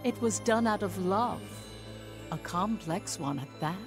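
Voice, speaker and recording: A composed female professor in a game cutscene. Her mid-pitched delivery is formal, solemn and measured, like someone guarding a secret. Music plays clearly behind her. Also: animated. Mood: calm, knowing. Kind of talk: dubbed video game cutscene acting.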